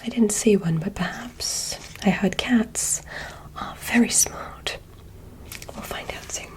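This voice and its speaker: A woman doing a whispered ASMR roleplay as a polite, curious alien examiner, speaking in a gentle, breathy, soothing way. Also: mid-range voice, rough recording. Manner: slow, gentle, soothing bedside delivery. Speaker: ASMR performer.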